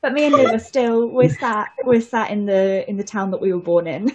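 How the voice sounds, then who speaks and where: A woman's voice: a little high, steady tone, normal speed, darkish tone, husky. Chatty woman co-host. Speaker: podcast co-host. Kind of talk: casual chat.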